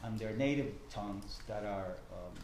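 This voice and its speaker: A man from Buenos Aires with an Argentine Spanish accent, a journalist and professor giving an informal talk to a group, halting and full of restarts, often checking in with the room. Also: on the low side, a little mellow. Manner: halting, many fillers and restarts, asks the room questions. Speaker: guest speaker, journalist and professor. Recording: echoey room, faint noise.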